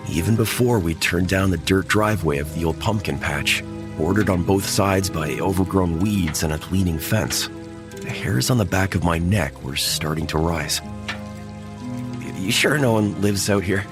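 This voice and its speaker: Male narrator, very low, tense first-person horror read, music bed behind. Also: moderate pace. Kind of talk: horror audio drama narration.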